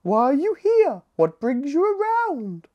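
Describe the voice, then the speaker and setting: A woman voicing a tired, frazzled queen bee in a storybook, speaking high and breathless. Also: huge pitch swings, takes it slowly, dark tone, exaggerated voice.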